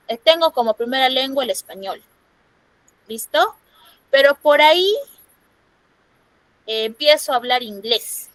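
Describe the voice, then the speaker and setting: Peruvian woman teacher, high and dry. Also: a bit bright, no room sound, rough recording. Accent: Peruvian Spanish. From Peru. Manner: explanatory, checks in with frequent "¿no?". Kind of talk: online class lecture. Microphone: computer microphone on a video call.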